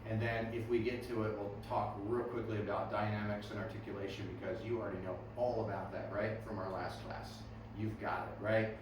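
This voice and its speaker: A male music teacher leads a classroom workshop, asking his students questions and checking in every few sentences. His voice sits at an ordinary middle pitch and is picked up from a distance in a very echoey room, with steady background noise. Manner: friendly questioning of students, frequent "right?" and "okay".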